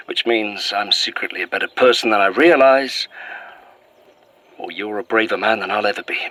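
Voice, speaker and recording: An actor playing a troubled, self-deprecating man in a halting, confessional audio-drama recording, sighing and swearing. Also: fairly low pitch, bright, forceful tone, low-quality recording. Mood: bitter, conflicted.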